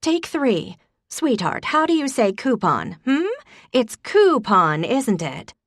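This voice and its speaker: A woman's voice: on the high side, sing-song, takes it slowly, no room sound, pitched above the performer's own voice, exaggerated voice. Stern old grandmother with steel in her voice, as a woman puts her on, dry close read. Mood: insistent. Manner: firm, insistent, indignant. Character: elderly grandmother, stern and fussy.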